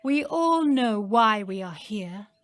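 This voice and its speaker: Woman acting very slow, commanding cult priestess Tasha. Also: mid-range voice, animated, a crowd in the background. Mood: smug, prophetic. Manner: theatrical, solemn, self-assured.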